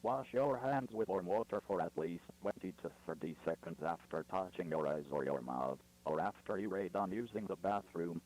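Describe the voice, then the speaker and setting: Guy doing a stern official emergency alert voice, low and impersonal, bit of hum and hiss behind. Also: expressive, normal speed, few pauses, a little mellow, poor audio. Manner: formal, instructional. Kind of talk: scripted emergency broadcast announcement.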